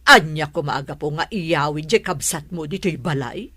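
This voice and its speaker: A woman playing a sharp-tongued, scheming middle-aged mother-in-law in an Ilocano-accented radio drama, sarcastic and exclamatory. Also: low-pitched, normal speed, no echo. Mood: sly, reassuring.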